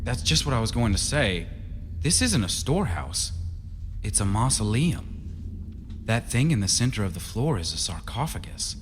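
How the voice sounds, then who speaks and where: Echoing radio drama with faint noise, actor as breezy male sceptic, easygoing husband, animated intonation. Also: lowish voice, moderate pace. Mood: breezy, sceptical. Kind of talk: radio horror drama acting. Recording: reverberant, noisy radio drama recording.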